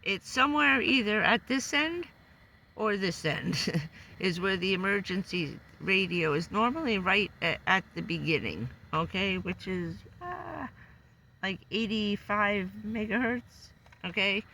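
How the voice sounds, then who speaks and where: A hoarse woman who says she is losing her voice, giving a loose, chatty van-life update full of fillers. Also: medium pitch, expressive, a little slow, sharp tone, slight background noise, rough recording. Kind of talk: casual rambling live update. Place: living in a van.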